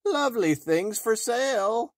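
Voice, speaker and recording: A man's voice: extremely high pitch, lively intonation, measured pace, dry recording, studio quality, voice pushed up. A man voicing a wicked queen disguised as an old peddler woman, sly and coaxing in a sing-song way. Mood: coaxing, calling.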